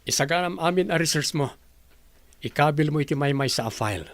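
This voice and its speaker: An actor with a Filipino Ilocano accent playing a businesslike department head giving instructions in a radio drama. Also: male voice, fairly high pitch, lively intonation, fairly quick, no room sound. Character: businesslike male department head recruiting office staff.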